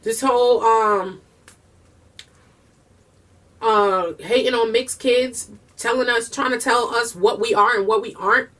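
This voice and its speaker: A woman chatting casually while eating, talking fast with a Southern US and Puerto Rican-tinged accent and slipping into bits of song. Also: a little high, steady tone, fairly bright tone, far from the mic. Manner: breaks into bursts of singing. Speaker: vlogger and mother.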